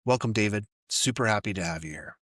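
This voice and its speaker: A man's voice: lowish voice, fast talker, a bit bright, no echo, clean studio sound. A man from North America in his forties or older, hosting a casual podcast interview in a friendly, joking, chatty way. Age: 40s or older. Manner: friendly, jokey, chatty with laughs. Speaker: podcast host.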